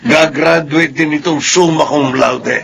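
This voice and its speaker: Proud tipsy Filipino father, highish voice. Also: steady tone, unhurried, vocal fry, fairly bright tone, a little hiss or hum. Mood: boastful, jovial. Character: proud, boastful middle-aged father, tipsy and jovial. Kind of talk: radio drama acting.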